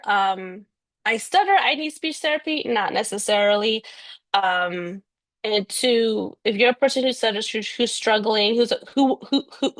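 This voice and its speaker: A young woman, a speech therapist, answering interview questions earnestly with frequent "um"s and "you know"s. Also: on the high side. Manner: earnest, speaks of stuttering, fillers like um and you know. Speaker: bilingual speech-language pathologist, guest.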